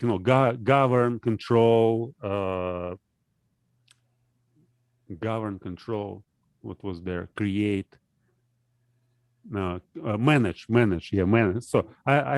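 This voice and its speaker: Halting man. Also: fairly low pitch, a little mellow, no echo, studio quality. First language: Russian. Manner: hesitant, halting, searching for words. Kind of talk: casual philosophical discussion.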